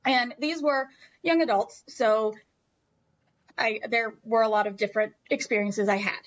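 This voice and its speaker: A woman's voice: fairly high pitch, steady tone, measured pace, rough recording. An American woman telling a personal story as an interview guest in a candid, emphatic, conversational way.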